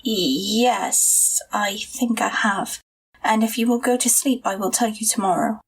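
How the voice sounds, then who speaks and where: A woman playing a headstrong, sharp-tempered young girl in an audio drama, bossy and emphatic. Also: medium pitch, unhurried, husky, poor audio. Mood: hushed, soothing. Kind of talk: audio drama acting and first-person storytelling.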